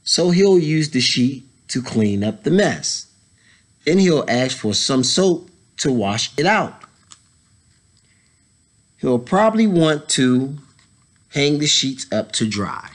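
Slow-paced man reading a picture book aloud. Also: mid-range voice, sing-song. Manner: unhurried, pausing, explaining to children. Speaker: story time reader, educator. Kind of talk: children's picture book read-aloud with casual asides. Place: indoors, school library.

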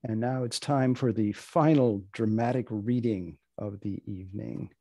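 A man's voice: fairly low pitch, a little slow, dark tone, dry recording. An older man acting as host and master of ceremonies, moderating a session of readings.

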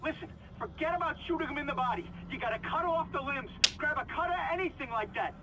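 A man's voice: extremely high pitch, animated, fast, dull audio, heavy background noise. A man acting a panicked engineer leaving a desperate audio log in a video game.

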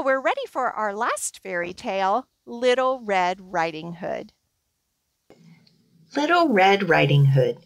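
Middle aged lady doing kids storytime, really animated, takes her time. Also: mid-range voice. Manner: expressive, deliberate, simple phrasing for young children. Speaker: children's library storyteller. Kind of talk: children's storytime reading and instruction.

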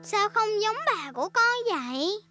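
Very high, bossy little girl pig. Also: very animated, measured pace, heavily acted. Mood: theatrical. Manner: bossy, explaining patiently like a big sister. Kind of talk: children's cartoon dub. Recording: music behind the voice.

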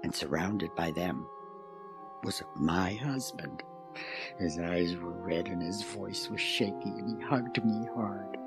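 An actor playing a shaken, grieving parent giving testimony in an audio drama. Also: male voice, low-pitched, takes it slowly, over music. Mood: shaken, grave. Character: shaken, grieving parent recounting a family tragedy.

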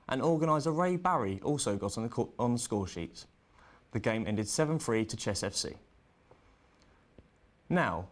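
A man presenting sports news, reading results and links from a script in a student news bulletin. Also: medium pitch.

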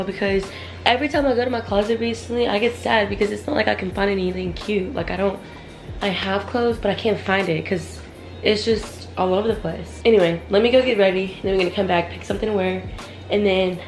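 A woman in her twenties talking chattily to her viewers, her voice a little hoarse from a cold, filming herself with a handheld camera. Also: mid-range voice, a little fast, faint music behind. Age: 20s. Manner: chatty, rambling, talks straight to the viewers. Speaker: vlogger. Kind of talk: casual vlog chat. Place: small bedroom at home. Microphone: handheld camera, close.

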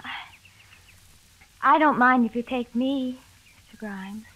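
A woman's voice: fairly high pitch, takes it slowly, bright, forceful tone, heavily acted. An actress playing a shy, sweet, flustered young girl in a 1940s radio drama, timid and hesitant. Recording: vintage 1940s radio broadcast.

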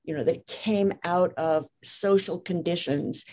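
A woman's voice: fairly low pitch, a little slow, a little mellow. A woman speaking as an interviewed author and lawyer in a conversational interview, careful and precise, often rephrasing her points.

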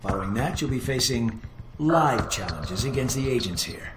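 An actor playing a stern, sceptical older intelligence instructor in a video game, with a brusque, dry, formal manner. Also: male voice, medium pitch, expressive, moderate pace, close to an everyday voice. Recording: echoey room with music behind.

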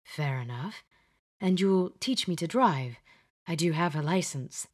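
A woman voicing a reserved, formal, old-fashioned gentleman who speaks quietly. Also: lively intonation, a little slow. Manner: formal, quiet, low-key.

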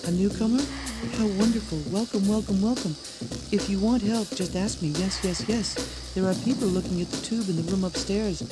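An actor playing a manic, childlike asylum patient in a game, high-pitched and repeating himself in broken syntax. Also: male voice, a little fast, natural voice, rough recording. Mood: eager, manic. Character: manic, childlike asylum patient seeking his teddy bear. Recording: game audio with loud music.

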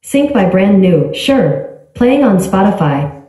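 A synthetic woman's voice from a smart speaker, giving even, polite, clipped replies. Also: medium pitch, takes it slowly. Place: small tiled bathroom. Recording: echoey bathroom, heard from a distance.

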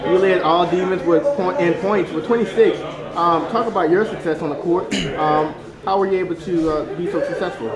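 A man asking postgame sports interview questions in a halting, hesitant manner. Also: high voice. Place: echoey basketball arena. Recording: echoey, loud crowd hubbub behind.

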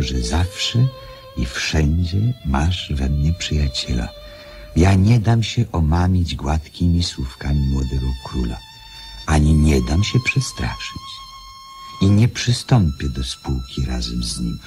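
An actor playing a kind sad king reading out an affectionate letter slowly and tenderly in a radio drama. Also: extremely low pitch, exaggerated voice. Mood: earnest, affectionate. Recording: music playing behind the voice.

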